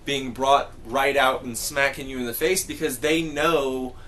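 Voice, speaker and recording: A man's voice: medium pitch, fairly even intonation, measured pace, fairly bright tone. A man in a casual group discussion, talking in a rambling, emphatic way with plenty of slang. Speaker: podcast panelist.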